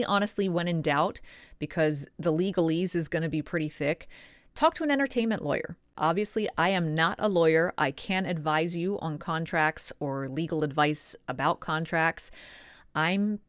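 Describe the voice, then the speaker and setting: A woman presenting instructional advice as a coach, with emphatic, deliberate stresses on key words. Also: deep voice, no room sound, clean studio sound. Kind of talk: instructional advice talk to camera.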